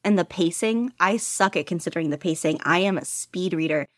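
A woman's voice: lowish voice, no echo, studio quality. A woman chatting casually as an interview guest, rambling along in a self-deprecating way. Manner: animated self-deprecating rambling, quick to laugh at herself. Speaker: podfic narrator being interviewed.

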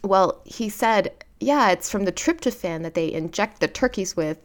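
A woman's voice: medium pitch, lively intonation, normal speed. A woman hosting a casual podcast chat, bubbly and chatty and laughing often. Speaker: podcast host.

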